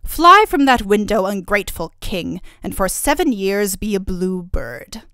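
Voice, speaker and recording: A woman's voice: on the high side, big pitch swings, normal speed, dry recording, clean studio sound, pitched above the performer's own voice. A woman voicing a scheming, angry fairy godmother who commands and scolds in a storybook tale. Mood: furious.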